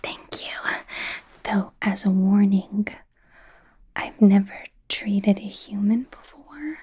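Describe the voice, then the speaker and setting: A woman's voice: medium pitch, slow pace. A woman playing an eager, wry young werewolf medical student in a whispered ASMR roleplay, chatty and a little hesitant. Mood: pleading. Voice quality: breathy, soft whisper. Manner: chatty, hesitant, gently sarcastic, giggly.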